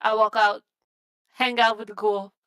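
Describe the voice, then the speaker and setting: A woman's voice: medium pitch, level tone, measured pace, a bit bright, smooth. A woman playing a tabletop roleplaying game, casually describing her character's actions in the first person.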